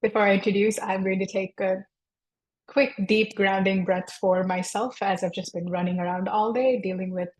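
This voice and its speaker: A woman with a husky, breathy voice and middling pitch speaks as a webinar panelist. She talks in a calm, reflective way over a video call. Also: moderate pace, low-quality recording. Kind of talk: webinar introduction.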